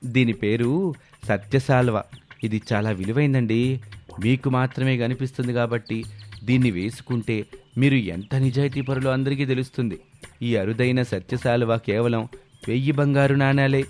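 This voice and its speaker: A man's voice: medium pitch, steady tone, moderate pace, darkish tone, lightly acted. A man playing a boastful, persuasive swindler who poses as a heavenly weaver in a storybook tale. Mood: flattering. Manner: boastful, persuasive salesman talk. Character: smooth-talking male swindler posing as heavenly weaver. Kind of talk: scripted story dialogue. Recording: background music behind the voice.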